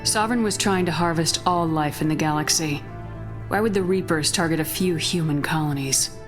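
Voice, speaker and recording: A woman's voice: sits low, normal speed, natural voice. A woman playing a hardened, blunt space military commander in a video game, firm and unsentimental. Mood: guarded, probing. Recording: loud background music. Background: game music.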